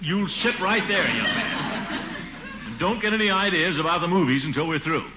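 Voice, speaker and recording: An actor playing a pompous, fussy middle-aged uncle in a 1940s radio comedy, blustery and soft-hearted. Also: male voice, sits high, expressive, crowd noise. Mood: exasperated, blustering. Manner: theatrical, blustery, drawn-out words and chuckles. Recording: noisy, muffled 1940s radio broadcast.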